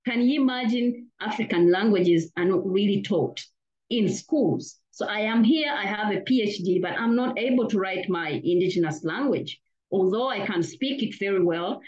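Academic guest, woman from Uganda, mid pitch, earnest and full of fillers, muffled lo-fi sound. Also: normal speed, a little mellow. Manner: earnest, with frequent "you know" fillers and restarts. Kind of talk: interview answer. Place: lives in Australia.

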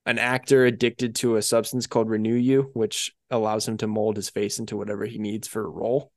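A man's voice: fairly low pitch, little pitch movement, fairly quick, dry recording, studio quality. A man co-hosting a podcast, talking casually and conversationally as he reviews episodes, with many filler phrases. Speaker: podcast co-host.

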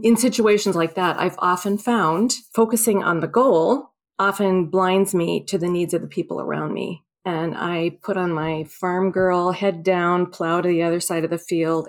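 A woman co-hosting a podcast, speaking candidly and reflectively about herself. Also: lowish voice. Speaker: podcast co-host. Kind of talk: casual conversation.

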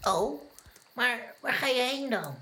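A woman's voice: a little high, lively intonation, takes it slowly, noisy background. A woman voicing a childlike, playful little fantasy creature full of wonder in a musical children's audio drama. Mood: friendly, curious. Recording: roomy, with prominent music underneath.

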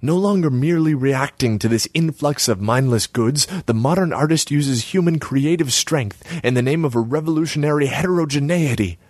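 Man voicing haughty young female artist, high, preachy, dry close sound. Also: moderate pace, hardly any pauses, darkish tone, dry recording, close to an everyday voice. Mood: haughty lecturing. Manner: scornful, lecturing, self-important.

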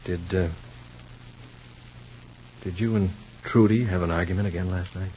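A deep-voiced, skeptical, protective man, played by an actor in a vintage radio soap. He chides with weary familiarity and some hesitation, on a dull recording with faint hiss and hum. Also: steady tone, normal speed, a little mellow, natural voice. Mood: probing. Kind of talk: 1940s-50s radio soap opera acting. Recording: dull, hissy, humming old radio recording.

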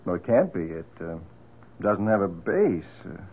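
A genial, helpful friend in a vintage radio play. His husky voice moves up and down freely, a bit hesitant, over narrow old-broadcast sound. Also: sits low, big pitch swings, a little slow, full character voice. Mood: curious, puzzled. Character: affable, helpful male friend and neighbor. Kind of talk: old-time radio drama acting. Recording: thin, muffled old radio recording.

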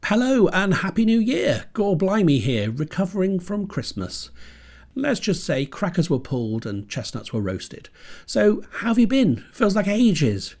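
A man from Britain hosts his horror podcast from his computer desk at home, with a stuffy nose from a cold. His pitch rises and falls a lot. His scripted delivery is dry and self-deprecating. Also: sing-song, measured pace, darkish tone. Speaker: podcast host.

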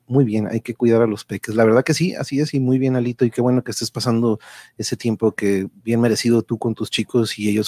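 A man's voice: mid-range voice, mellow, husky, no room sound. A man hosting an online radio drama show, chatting casually and repeating himself as he addresses viewers. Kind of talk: casual live-stream chat.

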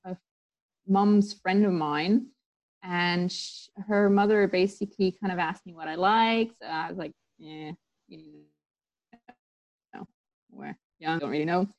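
A woman's voice: lowish voice, measured pace, pauses often, lo-fi sound. A woman who grew up in Tanzania, a sports psychologist guest talking casually in an interview, rambling with many fillers and restarts.

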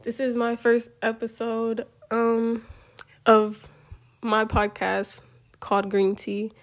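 A nineteen-year-old woman talks into her phone for her own podcast, her voice a little rough and hoarse from a cold. She rambles casually, filling pauses with ums and you-knows and breaking into small giggles. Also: fairly high pitch, monotone. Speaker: podcast host. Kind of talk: casual solo podcast talk.

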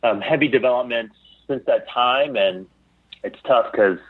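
A man talking casually as an interview guest, often pausing to search for his words over a call. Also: medium pitch, breathy edge, no echo. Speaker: guest, founder of a geek apparel company. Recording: thin phone-like call audio.

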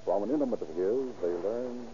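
A man's voice: on the low side, brisk. A man narrating a scripted 1930s radio drama in a formal, dramatic manner. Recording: dull old radio recording. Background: music.

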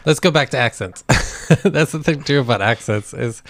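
Gravelly young man, Wisconsin accent. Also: medium pitch, moderate pace, fairly bright tone, no echo. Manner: teasing, laid-back. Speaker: podcast co-host. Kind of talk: casual chat.

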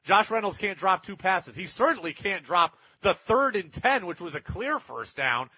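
A man with a high-pitched, forceful voice hosts sports talk. He argues emphatically and circles back to hammer his points. The sound is dry and close, a little dull in fidelity. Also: sing-song, normal speed, husky, no room sound. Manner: emphatic, repeats points for effect. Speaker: sports talk show host. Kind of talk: opinionated sports talk commentary.

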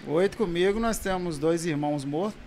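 A Brazilian man in his forties, a pastor, talking casually in an interview about his family and childhood, halting with restarts. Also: on the high side, slight background noise. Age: 40s. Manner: halting, with frequent restarts and soft laughs.

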